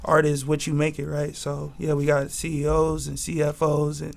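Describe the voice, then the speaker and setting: A man's voice: on the high side, completely flat delivery, normal speed, vocal fry. A man in his twenties from Southern California, a record label head talking in a loose, laid-back way with lots of slang and fillers. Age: 20s. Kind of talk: casual interview talk.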